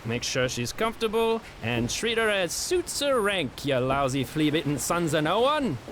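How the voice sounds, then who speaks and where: An actor playing a big, ruthless pirate captain with folksy, mock-cheerful politeness in an audio fantasy drama. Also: male voice, a little high, big pitch swings, moderate pace, a bit bright, a little hiss or hum. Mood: mocking, genial. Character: large, jovially menacing red-bearded pirate captain, ruthless.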